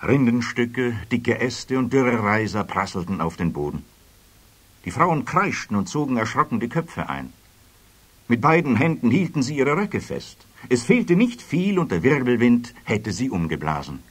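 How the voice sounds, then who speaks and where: A man playing the narrator of a children's fairy tale, reading scripted storybook prose with expressive, dramatic phrasing. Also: medium pitch, moderate pace, slightly rough. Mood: suspenseful.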